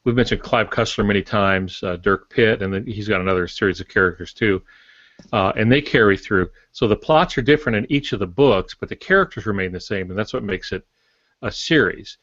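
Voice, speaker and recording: Low-voiced older man co-hosting a podcast. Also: a little fast, a bit bright, no room sound, dull audio. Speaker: podcast co-host. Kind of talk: casual explanatory podcast talk.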